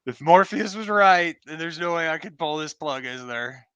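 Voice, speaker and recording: Radio play turncoat, male actor: gloating and slangy, clear forceful voice, theatrically mocking with big swings in pitch. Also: lively intonation, normal speed, dry recording, highs cut off. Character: gloating, sneering male traitor taunting his victims. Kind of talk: amateur live radio play reading.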